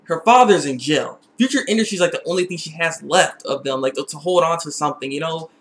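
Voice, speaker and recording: A young man giving a casual, excitable episode recap, repeating phrases for emphasis. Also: a little high, fast, slight background noise. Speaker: TV episode reviewer. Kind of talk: casual video recap and review.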